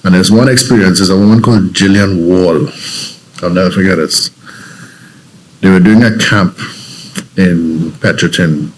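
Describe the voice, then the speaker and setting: A man's voice: low voice, moderate pace, long pauses, low-quality recording. A man from Trinidad and Tobago with a Trinidadian accent, a guest telling his story in an interview, reflective and earnest with frequent restarts.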